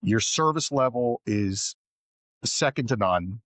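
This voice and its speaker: A middle-pitched man hosts a casual podcast chat with an upbeat, complimentary manner. His voice is recorded close and dry, with no room sound. He asks questions and riffs warmly on the guest's answers. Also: animated, takes it slowly, dry recording. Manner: friendly, enthusiastic, complimentary. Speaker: podcast host.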